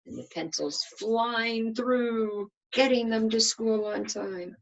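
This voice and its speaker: A woman's voice: on the high side, slow pace, gravelly, muffled recording. A woman reading a children's storybook aloud like a kindergarten teacher, expressively and with questions for her listeners.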